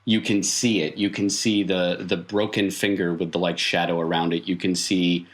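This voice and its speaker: A man improvising narration as game master of a tabletop roleplaying game, halting as he thinks aloud and repeating words for emphasis. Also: low-pitched, flat delivery.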